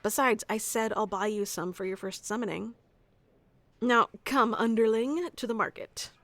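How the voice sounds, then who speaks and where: A woman's voice: a little high, normal speed, fairly bright tone, a little hiss or hum. A woman playing a bossy, geeky tsundere wizard teacher who explains things informally and smugly in an ASMR roleplay. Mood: bossy, awkward. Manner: informal, smug, flustered asides, explanatory. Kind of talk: scripted ASMR roleplay audio addressed to the listener.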